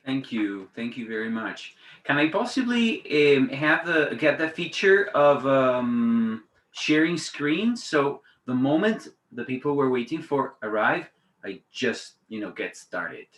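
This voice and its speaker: A man's voice: mid-range voice, big pitch swings, unhurried, a bit bright. A man in his fifties from Argentina, a native Spanish speaker, chatting informally and hesitantly as a guest speaker on a webinar. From Patagonia, Argentina. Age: 50s. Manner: chatty, hesitant, conversational asides. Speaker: guest speaker, teacher trainer. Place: indoors on a video call. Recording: roomy, echoey webinar audio.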